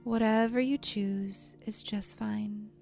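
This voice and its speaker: A woman leading a guided imagery meditation in a soft, soothing, gentle voice that turns breathy at times, with unhurried pauses. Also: mid-range voice, fairly even intonation. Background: soft background music.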